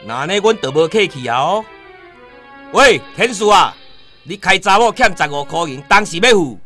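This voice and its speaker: A demanding Taiwanese debt collector in a radio play. His voice sits a little high with lively swings. Music plays quietly behind. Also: normal speed. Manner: pushy, demanding. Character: insistent male debt collector. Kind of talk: radio drama acting.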